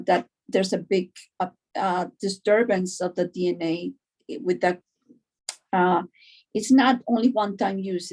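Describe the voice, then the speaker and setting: Middle-aged Cuban-born woman, halting conversational delivery. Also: lowish voice, darkish tone. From Cuba, later Florida. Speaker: doctor, interview guest. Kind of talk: conversational personal account.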